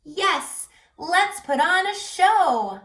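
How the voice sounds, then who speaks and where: A woman's voice: very high voice, animated, very slow, a bit bright, pitched above the performer's own voice, full character voice. A woman voicing a band of kindly, eager forest animals in a children's storybook, exclaiming and encouraging. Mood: eager, excited. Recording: roomy echo, distant mic, music behind.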